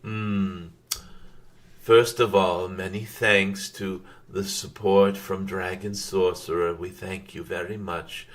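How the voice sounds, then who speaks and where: A man voicing a wise, serene dragon spirit in a channeled spiritual message, halting and contemplative with frequent hums and formal phrasing. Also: low-pitched, level tone.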